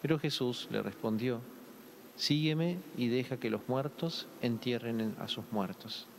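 A priest in his thirties with a Rioplatense Spanish accent speaks into a lectern microphone in a large church. He preaches gently and conversationally, with a middle-range voice and many "eh" fillers. His voice carries a long reverb from the hall, over a faint background hum. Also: mid-range voice, measured pace, vocal fry. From Argentina. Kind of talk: gospel reading and homily.

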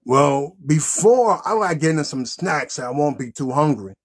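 A man's voice: a little high, lively intonation, moderate pace, husky. A man in his thirties hosting a children's puppet show in casual, improvised chat.

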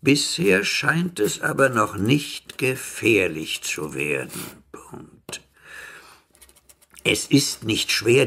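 An actor playing a sinister, imperious merchant-alchemist in a radio drama, dictating formally and deliberately. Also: male voice, medium pitch, creaky, bright, forceful tone, slightly rough, full character voice.

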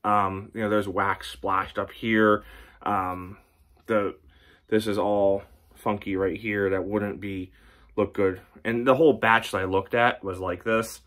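A man's voice: lowish voice, level tone, takes it slowly, fairly bright tone. Rambling, chatty man reviewing candles. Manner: chatty, rambling, many ums and restarts. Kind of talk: casual product review vlog. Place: home room with record shelves.

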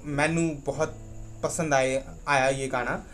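Excited young man. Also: mid-range voice. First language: Bengali. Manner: excited, chatty, rambling, self-correcting in halting Hindi. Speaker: YouTube reaction channel host. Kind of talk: casual music reaction vlog. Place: small room indoors.

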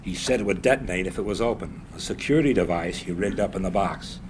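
A man's voice: sits low, noisy background, lightly acted. A man reading the part of a nervous hotel manager at a live script reading. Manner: nervous, hurried.

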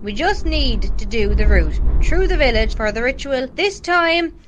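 A woman playing a no-nonsense committee leader in a radio play. Her voice is fairly high and swings in a lively way as she briskly gives practical orders. There is faint noise behind her. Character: brisk, no-nonsense woman running a parish group meeting. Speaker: meeting chairperson. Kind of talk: radio drama dialogue.

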